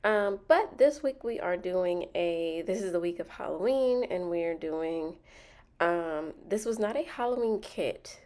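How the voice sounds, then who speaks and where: A woman's voice: on the low side, lively intonation, measured pace, darkish tone. A young woman with a Southern accent, stuffy and a bit nasal from a cold, chatting casually as she narrates her planner video, rambling with many ums and likes.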